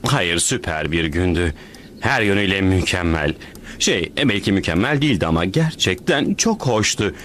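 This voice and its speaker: A man's voice: low-pitched, animated, normal speed, fairly bright tone, slight background noise. An actor voicing a big, upbeat, dim male superhero in a cartoon dub, with grandiose, theatrical delivery. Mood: blissful, grand. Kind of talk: animated cartoon dub acting.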